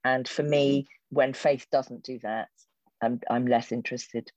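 A woman's voice: rumbling low, steady tone, measured pace, a little mellow, no room sound. A woman in her sixties, a theatre director and actor, giving thoughtful, wry answers in an interview, often restarting her sentences. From England. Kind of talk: reflective conversational answers. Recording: dull lo-fi dry recording.

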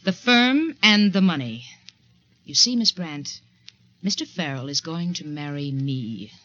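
An actress playing a cool, calculating, condescending schemer in a 1940s radio drama, speaking with poise and deliberation. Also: female voice, low-pitched, expressive, measured pace, over loud music. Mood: smug, cold. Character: cool, calculating woman scheming to marry the boss. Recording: muffled vintage 1940s radio recording.

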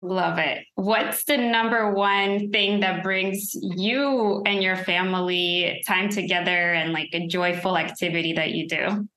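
A woman's voice: on the low side, steady tone, unhurried, few pauses, pushed and bright, echoey room, poor audio. A woman hosting a podcast, upbeat and encouraging as she asks questions. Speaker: podcast host. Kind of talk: casual interview chat.

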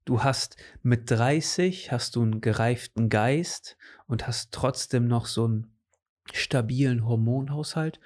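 A man's voice: medium pitch, a little slow, a little mellow. A man in his late twenties chatting casually about his training goals, rambling with lots of fillers and restarts. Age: late 20s. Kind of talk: casual chat.

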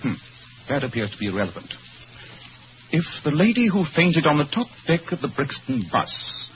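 A man playing a brilliant, sardonic English gentleman detective in a radio drama, speaking precisely with dry superiority and an English accent. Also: on the high side, very animated, normal speed. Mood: bored, disdainful. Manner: precise, theatrical, dryly superior. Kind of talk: old-time radio drama acting. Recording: dull, noisy old radio recording.

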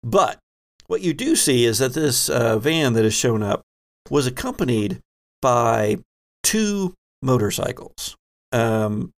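A man runs a tabletop roleplaying game, describing scenes as he improvises them. His pitch sits in a medium range for a man. He talks conversationally and drops in wry asides. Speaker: game master and host.

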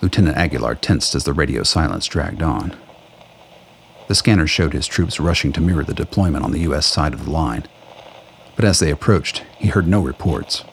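A man's voice: rumbling low, expressive, normal speed, vocal fry. A man delivering scripted, dramatic narration for an audio play. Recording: noise, ambient sound effects behind.

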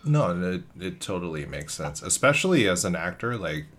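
A man's voice: deep voice, big pitch swings, moderate pace, a bit bright, slight background noise. A man who is an actor, interviewing a guest in a casual, easygoing chat with curious questions.

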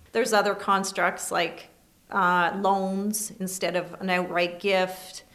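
Low-voiced Canadian woman lawyer. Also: a bit bright. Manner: measured, explanatory, with fillers. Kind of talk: interview answers.

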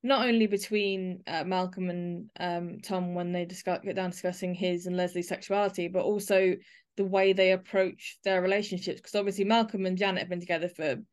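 A woman's voice: lowish voice, fairly even intonation, fairly quick, darkish tone, smooth. A woman speaking as the host of an arts podcast, interviewing and presenting.

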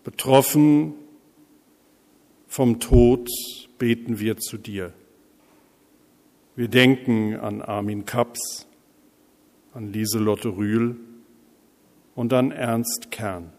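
A man leading intercessory prayer as a pastor, speaking solemnly in measured, deliberate phrases with pauses. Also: mid-range voice, fairly even intonation, a little mellow. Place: large reverberant church interior. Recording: echoey church hall, long reverb.

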